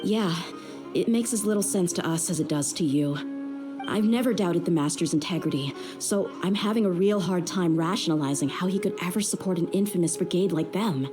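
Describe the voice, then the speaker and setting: A woman's voice: lowish voice, brisk, over music, natural voice. An actress playing a young female mercenary bodyguard in a game, speaking frankly and directly. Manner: frank, direct, plain-spoken. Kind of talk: game voice acting.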